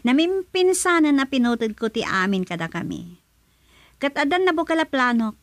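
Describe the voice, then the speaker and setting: Woman acting scorned ilocano wife in a radio drama, sharp tongue, highish animated voice, close dry sound. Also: moderate pace, no room sound. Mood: bitter, resolute. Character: bitter, vengeful betrayed wife, sharp-tongued.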